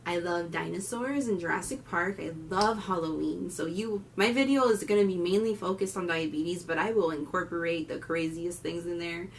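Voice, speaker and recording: A woman in her twenties from Miami with a Miami accent, talking candidly to camera on her vlog with loud bursts. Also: on the low side, normal speed, hardly any pauses, recorded from a distance, a little hiss or hum. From Miami, Florida. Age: 20s. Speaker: vlogger. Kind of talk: casual talk to camera.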